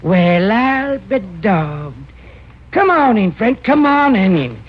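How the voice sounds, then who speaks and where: High-pitched drawling backwoods fellow. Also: male voice, big pitch swings, slow pace, full character voice. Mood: awed, welcoming. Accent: rural American. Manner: drawling, rustic. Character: suspicious, folksy backwoods man turned eager admirer. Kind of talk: old radio character acting. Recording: dull, noisy old radio recording.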